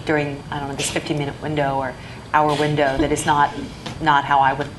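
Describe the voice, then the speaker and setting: A woman with a low voice moderates a panel and offers practical advice in a measured way. Steady room noise sits under her voice. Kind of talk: panel discussion. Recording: noisy room recording.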